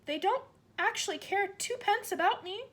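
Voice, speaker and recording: A woman voicing a desperate, bright little girl who cries out urgently in a high, breathless voice. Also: lively intonation, moderate pace.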